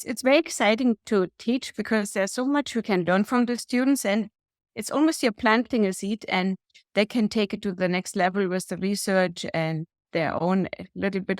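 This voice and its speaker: A middle-aged woman with a German accent speaks in a measured, earnest, explanatory way. Her voice sits in a middle pitch. The sound is dry and close. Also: dry recording. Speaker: guest, healthcare executive and lecturer. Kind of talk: interview answers.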